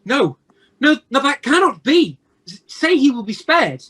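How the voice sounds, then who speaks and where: A woman's voice: high-pitched, sing-song, a little slow, a bit bright, heavily acted. A woman playing a troubled old male miser in an amateur radio play, earnest and full of challenging questions. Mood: anxious. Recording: faint noise on home call.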